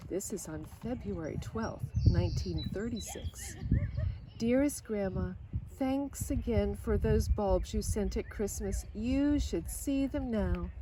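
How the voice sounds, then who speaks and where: A woman reading a children's picture book aloud in an expressive way, now and then speaking directly to her listeners. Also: medium pitch, slight background noise. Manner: expressive, pausing for short asides to listeners. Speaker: storybook reader.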